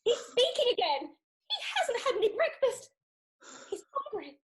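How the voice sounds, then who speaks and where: A woman with an English accent playing a prim, doting young music teacher in a theatrical farce, proper and expressive. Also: very high-pitched, moderate pace. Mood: doting concern.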